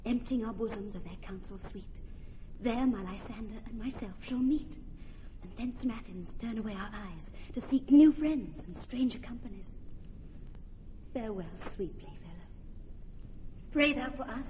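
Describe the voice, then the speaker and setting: A woman's voice: a little high, moderate pace, pauses often, dark tone, long reverb tail, close to an everyday voice. A woman playing a spirited young noblewoman. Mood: earnest. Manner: earnest, declaiming verse. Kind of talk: radio drama acting. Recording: dull lo-fi radio recording, faint hiss.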